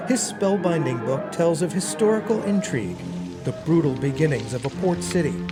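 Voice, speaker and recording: A man's voice: a little high, expressive, normal speed, loud music behind the voice. A man voicing a dramatic book advertisement. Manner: dramatic ad read. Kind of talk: advertisement voice-over.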